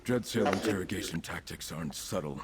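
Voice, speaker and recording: Deep-voiced weary captive nobleman played by an actor. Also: brisk, crowd noise, natural voice. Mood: relieved, weary. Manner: courteous, earnest. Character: grateful, weary captive young nobleman, courteous. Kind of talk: video game character dialogue.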